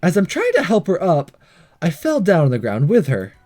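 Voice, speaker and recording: Male let's player, higher-pitched and lively, mellow tone, stumbles through read-aloud dialogue and narration over music. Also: moderate pace. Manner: stumbles over lines and re-reads them. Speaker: let's play host. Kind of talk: reading visual novel aloud with reaction commentary. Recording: music behind the voice. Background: game music.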